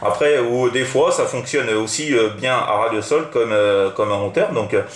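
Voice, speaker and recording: A French man talks casually as a hobby radio operator, with a middling pitch. He rambles and repeats himself, feeling for his words. The room around him adds a clear echo. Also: moderate pace. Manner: rambling, hesitant, repetitive. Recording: roomy, echoing room sound.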